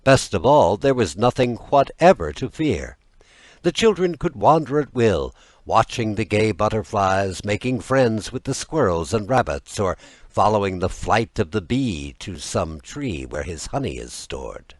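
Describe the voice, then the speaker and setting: Deep voiced guy reading a fairy tale audiobook, expressive n deliberate, really varied intonation. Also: dry recording. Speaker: audiobook narrator. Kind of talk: scripted fairy tale narration.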